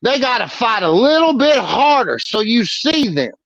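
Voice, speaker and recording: Brash, high-voiced, swearing male co-host. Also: sing-song, normal speed, creaky, a bit bright, no room sound, dull audio. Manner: brash, profane, boastful. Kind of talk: casual podcast banter.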